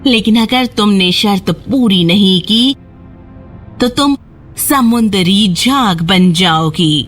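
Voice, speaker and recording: A woman voicing a menacing sea witch who bargains in a slow, taunting way for a children's fairy tale cartoon. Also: medium pitch, faint music behind, lightly acted.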